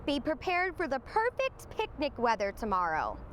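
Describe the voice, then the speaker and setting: A woman voices a friendly storybook lady frog who presents the forecast. The voice is high with a wide melodic range, over audible background noise. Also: huge pitch swings, moderate pace, fairly bright tone, exaggerated voice. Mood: cheerful sign-off. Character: female frog TV forecaster, friendly, slightly sheepish. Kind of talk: children's storybook character voice.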